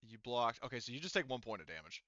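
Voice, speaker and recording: Clear, bright-voiced man running a game. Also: medium pitch, big pitch swings, no echo, highs cut off. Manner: halting, thinks aloud while tallying rules and rolls. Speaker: game master. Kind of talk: casual tabletop game refereeing.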